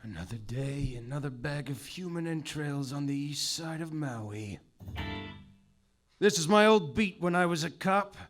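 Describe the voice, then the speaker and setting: High, lively-voiced man in stage comedy. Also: unhurried, soft background music. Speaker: performer in a live comedy play, as a detective from Maui. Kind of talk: live staged comedy acting. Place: live theater stage.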